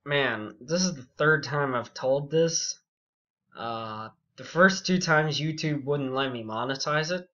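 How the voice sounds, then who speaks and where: A young man telling a story casually and unscripted, rambling and halting, with frequent asides. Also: medium pitch, a bit bright. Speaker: YouTube storyteller. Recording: dull, muffled lo-fi audio.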